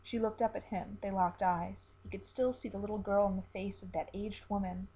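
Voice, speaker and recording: A woman storyteller reading a dark, dramatic tale as scripted narration. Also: medium pitch, fairly even intonation, a little fast, slightly rough, poor audio.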